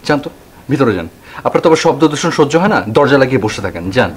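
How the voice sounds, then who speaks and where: A man's voice: medium pitch, big pitch swings, quick pace, husky. A man playing a touchy, defensive young man in a TV drama. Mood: irritated, defensive. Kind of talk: Bangla TV drama acting. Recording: loud music behind the voice.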